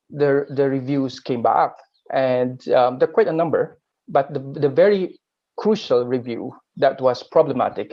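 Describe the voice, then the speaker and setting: A man's voice: mid-range voice, darkish tone. Filipino academic guy, aklanon, midrange voice, keeps repeating words, kinda muffled lo-fi sound. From Philippines. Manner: halting, with frequent word repeats. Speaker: academic panelist. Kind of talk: academic roundtable talk.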